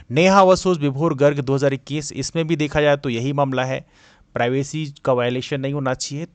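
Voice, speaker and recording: A man's voice: mid-range voice, no room sound. A man giving an explanatory lecture as a coaching teacher, didactic and mixing in English terms. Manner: didactic, code-switches between Hindi and English. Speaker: exam coaching teacher and presenter. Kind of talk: explanatory lecture on current affairs.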